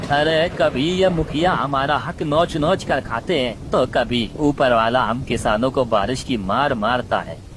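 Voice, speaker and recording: A man's voice: medium pitch, background noise, natural voice. A man playing a poor old farmer parrot in a Hindi cartoon, pleading and bitter in manner. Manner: wailing, pleading. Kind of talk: Hindi cartoon story acting.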